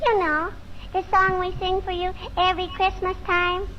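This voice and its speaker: A woman's voice: very high voice, little pitch movement, normal speed, dull audio, crowd noise. A woman playing an eager, gushing little neighborhood girl in a childlike, inquisitive 1940s radio comedy style. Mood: chatty, excited. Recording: noisy vintage radio recording.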